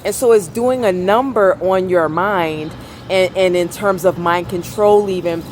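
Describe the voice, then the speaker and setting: A woman vlogger with a velvety, raspy voice, speaking softly in a rambling monologue outdoors on a city street. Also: fairly low pitch, measured pace, slight background noise. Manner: soft-spoken, rambling, trying to talk louder. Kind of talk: casual monologue.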